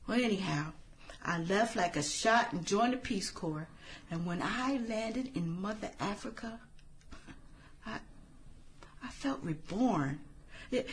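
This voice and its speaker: A woman's voice: on the high side, a little hiss or hum. A woman acting in a satirical radio drama as an earnest, guarded former classmate, speaking sincerely with small hesitant stammers.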